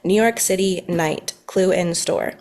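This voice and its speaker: A woman's voice: lowish voice, unhurried, a little mellow. A woman reading stage directions as the narrator of a scripted table read.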